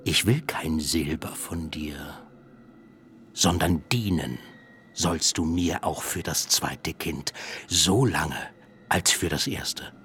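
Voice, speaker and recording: Ein Schauspieler spielt in einem Hörspiel einen gerissenen, strengen alten Vater, der hart und belehrend verhandelt. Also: male voice, a bass voice, fairly bright tone, slight background noise, full character voice. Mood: stern bargaining. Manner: measured, lecturing, haggling. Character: shrewd stern old father bargaining hard. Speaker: actor in a radio play. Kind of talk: literary radio drama acting.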